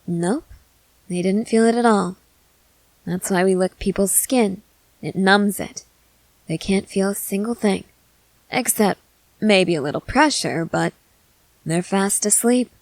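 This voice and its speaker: A woman's voice: mid-range voice, unhurried, long pauses, dry recording, close to an everyday voice, low-quality recording. A woman playing a confident, experienced vampire who coaxes and reassures in a matter-of-fact way, in an ASMR roleplay. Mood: brisk, assured. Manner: matter-of-fact, coaxing, assured.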